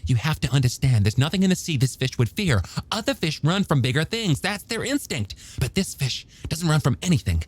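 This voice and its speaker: A man's voice: fairly high pitch, sing-song, fairly quick, hardly any pauses, slightly rough, voice pushed up. A man playing an eager, bookish young male scientist in an audiobook, stammering a little as he explains things emphatically and testily. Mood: earnest. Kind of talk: audiobook character dialogue.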